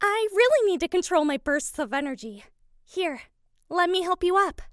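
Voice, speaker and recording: A woman voicing a bubbly, sweet, excitable young girl in a fan audio drama. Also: very high voice, normal speed, no room sound, studio quality. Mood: apologetic, friendly. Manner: gushing, excitable, exclamatory. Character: bubbly, sweet, energetic young girl who loves colors.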